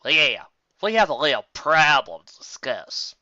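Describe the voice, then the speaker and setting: Angry farm mare for a storybook, acted by a narrator: blunt, accusing, forceful, swinging pitch. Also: sing-song, no echo, dull audio. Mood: cold, stern. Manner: confrontational, drawn-out forceful delivery.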